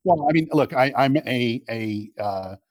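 A man in a political conversation, speaking as the guest. He argues emphatically, often circling back on his phrasing, at a middling pitch. The sound is dry and free of room echo. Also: expressive, unhurried, a little mellow. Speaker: interview guest, political commentator and media executive.

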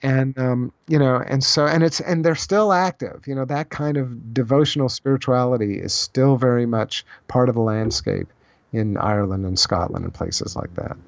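A man speaking as an interview guest, explaining ideas in a thoughtful, halting conversational way and often repeating phrases as he goes. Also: medium pitch, vocal fry, dry recording. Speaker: podcast guest, author on Celtic spirituality. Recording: slightly dull, lo-fi audio.

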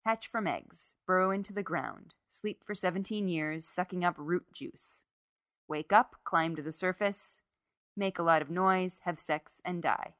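A woman's voice: lowish voice, a little slow, a bit bright, no room sound, clean studio sound. A woman reading the part of a cynical, provocative teenage daughter in a short story. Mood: defiant. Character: defiant, cynical teenage daughter needling her mother.

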